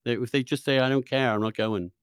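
A man's voice: medium pitch, steady tone, fast talker, clear, resonant tone, dry recording. Skeptical male podcast interviewer, dry sound. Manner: skeptical, pressing. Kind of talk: challenging interview questioning.

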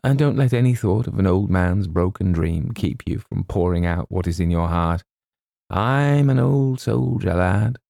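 A man performs an old English army colonel for a storybook reading. The voice is low and gravelly with lively swings. He is bluff yet sentimental, faltering and repeating himself like a heartbroken gentleman soldier. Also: measured pace, no room sound, studio quality, full character voice. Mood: wistful, resigned. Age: older. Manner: hesitant, repeats himself, stumbles over names. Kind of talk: theatrical audiobook character voice.

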